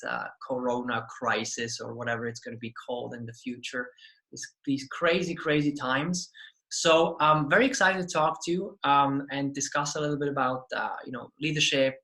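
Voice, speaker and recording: A male podcast host with a bright, forceful voice at a middling pitch. He welcomes his guest and asks questions eagerly, often doubling his words as he talks. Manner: enthusiastic, repeats words. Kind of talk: interview hosting.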